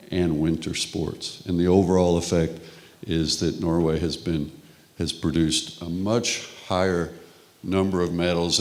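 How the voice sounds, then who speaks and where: A middle-aged man from Texas with a Texan accent, giving a relaxed, conversational conference lecture with frequent restarts. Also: sits low. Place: conference hall.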